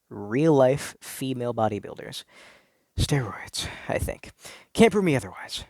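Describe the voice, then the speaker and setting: A man's voice: mid-range voice, no echo. A man acts out an insecure, traditional-minded male gamer telling his story as a melodramatic first-person confession. He is earnest and theatrical, dragging out key words and turning indignant as he tries to convince himself. The voice is recorded close and dry.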